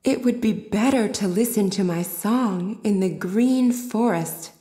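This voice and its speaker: A woman voicing a gentle, polite nightingale in a storybook fairy tale, modest and gracious. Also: medium pitch, measured pace, reverberant, voice pushed up, natural voice.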